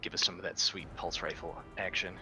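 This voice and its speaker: A man's voice: low voice, steady tone, fairly quick. A man playing a tabletop roleplaying game, casually stating his character's moves. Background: background music.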